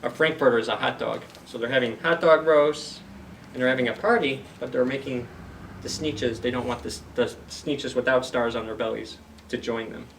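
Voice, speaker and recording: A man's voice: mid-range voice, steady tone, normal speed, creaky, a bit bright, distant mic, slight background noise. A man hosting a story time for young children, reading aloud and explaining things simply with questions to the listeners.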